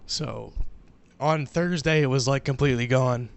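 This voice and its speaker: Hoarse gravelly-voiced man co-hosting. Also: mid-range voice, normal speed. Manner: relaxed, rambling, trailing off with fillers. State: hoarse, losing his voice. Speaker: podcast co-host. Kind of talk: casual chat.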